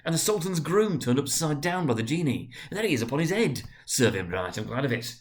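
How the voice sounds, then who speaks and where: A man voicing an excitable old miser who exclaims with childlike glee as he relives his boyhood in a storybook reading. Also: on the high side, brisk, voice pushed up. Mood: ecstatic. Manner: exclaiming, swinging between glee and pity.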